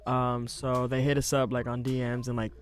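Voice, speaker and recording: A man's voice: mid-range voice, normal speed, smooth, soft background music. An eighteen-year-old man from a boy band, telling stories casually in a radio studio. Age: teens. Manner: relaxed storyteller. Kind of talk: casual interview chat.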